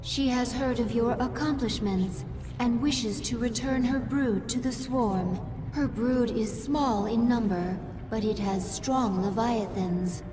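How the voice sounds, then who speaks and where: A formal advisor to an alien queen in a sci-fi game. She speaks slowly in a slightly high, measured voice. Long reverb trails it, with music underneath. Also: noisy background. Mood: serious, dutiful. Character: dutiful female advisor to alien swarm queen. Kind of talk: scripted sci-fi game voice acting.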